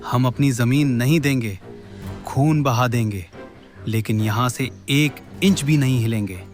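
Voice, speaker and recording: A man's voice: mid-range voice, a little slow, soft background music, natural voice. A man voicing a defiant young villager in a cartoon story, urgent and impassioned. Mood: defiant, outraged. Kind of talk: animated moral story dialogue.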